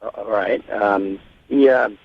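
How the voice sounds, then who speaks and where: A man's voice: lowish voice, slow, vocal fry. A man in an unscripted phone conversation over a dull, lo-fi line. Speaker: prank call target.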